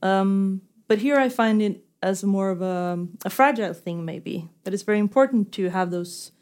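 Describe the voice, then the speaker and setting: A woman interviewer putting questions in a literary conversation, phrasing them tentatively and haltingly. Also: medium pitch, a little mellow, clean tone.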